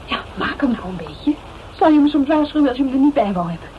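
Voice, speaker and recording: A woman's voice: sits high, animated, fast. An actress playing an anxious, loyal young woman in a Dutch radio crime drama, colloquial and questioning. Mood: nervous. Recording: noisy, narrow-band old radio drama recording.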